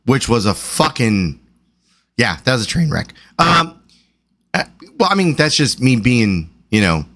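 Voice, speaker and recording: A man's voice: medium pitch, big pitch swings, a little slow, a bit bright. A man co-hosting a livestream in casual chat. He is losing his voice and talks in a rambling, profane, self-deprecating way. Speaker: livestream co-host.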